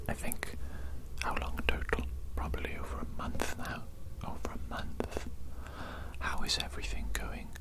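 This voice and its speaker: A man's voice: natural voice, poor audio. Man whispering as a smug evil doctor. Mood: smug, menacing. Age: young adult. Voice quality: breathy, unvoiced whisper. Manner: slow, deliberate, repeats phrases. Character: smug, condescending evil mad doctor holding a prisoner. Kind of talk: whispered ASMR roleplay. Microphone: close microphone.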